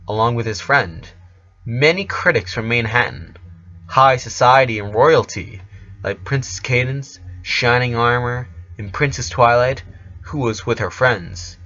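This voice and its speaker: Slow, deliberate male story narrator. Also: medium pitch, sharp tone, dull audio.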